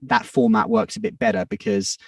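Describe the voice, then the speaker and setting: A man's voice: fairly low pitch, steady tone, a little fast, no room sound, studio quality, far from the mic. A man in his thirties, a music producer and DJ, talking in a reflective, rambling way with many restarts. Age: 30s. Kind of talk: casual podcast conversation.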